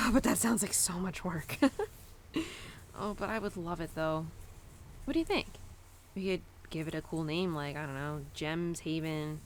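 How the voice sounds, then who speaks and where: A woman's voice: sits low, a little slow, slight background noise. A woman playing a young, animal-loving cowgirl who confides tenderly in her horse in an intimate, casual, rambling audio roleplay. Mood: dreamy, excited. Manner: casual, rambling, sighing and giggly.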